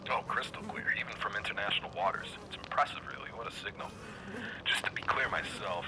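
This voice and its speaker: An actor playing a radio interviewer who is dry, skeptical and sardonic, in a satirical interview. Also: male voice, fairly low pitch, noisy background, lightly acted. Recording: music behind the voice.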